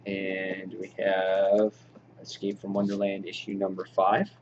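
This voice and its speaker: A man giving an informal, halting show-and-tell commentary as a hobby vlog host, in an understated way. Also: low voice, completely flat delivery, a little mellow. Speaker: vlog host showing a comic book collection. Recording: dull lo-fi audio, clear background noise.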